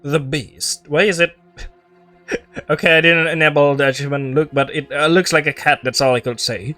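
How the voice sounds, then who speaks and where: High-pitched man doing casual game commentary. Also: steady tone, normal speed, sharp tone, soft background music. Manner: playful, offhand reactions, echoes game lines. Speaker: game streamer. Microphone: own microphone with compression.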